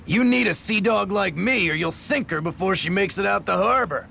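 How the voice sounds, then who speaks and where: Casting: hearty sea dog for a game, high gravelly voice, salty folksy slang, noise behind. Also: male voice, expressive, moderate pace, bright, forceful tone, full character voice. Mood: hearty, cocky. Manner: folksy, colloquial nautical slang. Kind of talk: video game voice acting. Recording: noisy, ambient background sound.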